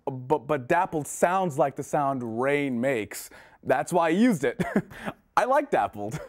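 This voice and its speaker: A woman's voice: deep voice, very animated, slow pace, full character voice. A woman playing a flippant, puzzled student in a scripted instructional play. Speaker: readers theater performer. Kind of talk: scripted instructional stage dialogue.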